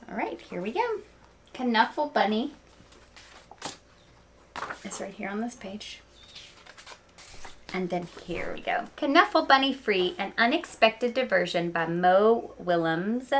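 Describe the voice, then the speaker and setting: A woman reading a picture book aloud to young listeners, expressive and speaking to them directly with small asides. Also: mid-range voice, a little hiss or hum. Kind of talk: children's read-aloud storytelling with asides.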